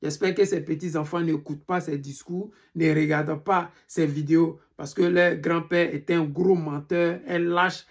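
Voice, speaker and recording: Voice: medium pitch, steady tone, normal speed, hardly any pauses. An Ivorian speaker with an Ivorian French accent, delivering an indignant, emphatic political monologue to followers and hammering questions again and again. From Côte d'Ivoire. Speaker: political commentator. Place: living in Europe.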